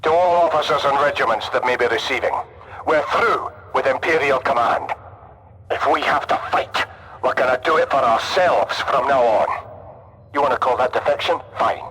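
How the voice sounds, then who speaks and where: Forceful, defiant renegade officer. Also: male voice, mid-range voice, normal speed, husky, rough recording. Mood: defiant, bitter. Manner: forceful, militaristic barking. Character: defiant, bitter male renegade army officer. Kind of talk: video game cutscene acting.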